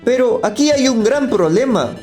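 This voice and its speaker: Guy reviewing stuff, high voice, scripted critique, faint music behind. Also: animated, moderate pace, a little mellow. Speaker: video critic.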